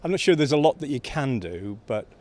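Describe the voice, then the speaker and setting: A man's voice: mid-range voice, very animated, a little fast, darkish tone. A man giving his opinion casually as an interviewed member of the public.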